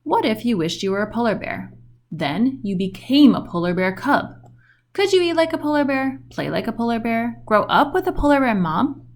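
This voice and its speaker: A woman reading a children's book aloud in an expressive storytelling style with questioning intonation. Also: a little high, moderate pace.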